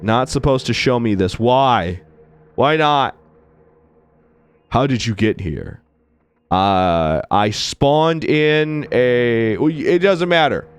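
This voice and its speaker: A man reading the lines of a young cat-person game hero in a deep, soft, hesitant voice. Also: animated, takes it slowly, clear, resonant tone.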